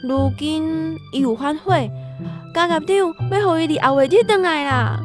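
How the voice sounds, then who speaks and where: An actress with a Taiwanese accent playing a soft-hearted family woman in a radio drama. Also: high voice, moderate pace, natural voice. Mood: moved, tearful. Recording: music clearly behind the voice.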